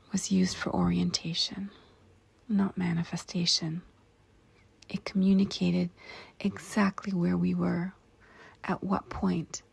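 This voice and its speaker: A woman narrating a guided meditation in a hushed, whispery, creaky voice, with deliberate short phrases and spacious pauses. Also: lowish voice.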